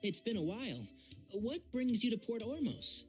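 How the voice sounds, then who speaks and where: An actor playing a brisk, wry young male botanist in a video game, articulate and matter-of-fact. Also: extremely high pitch, animated, faint music behind. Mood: friendly, explaining.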